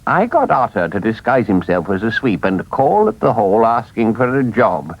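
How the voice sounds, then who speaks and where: A man voicing a stern old male badger who speaks severely and deliberately. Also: fairly low pitch, sing-song, lo-fi sound, slight background noise. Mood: grave.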